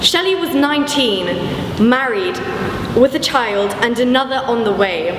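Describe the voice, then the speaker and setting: A woman's voice: on the high side, lively intonation, a little slow. A young woman reading scripted, documentary-style narration in an echoey hall with background noise. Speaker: pupil narrator.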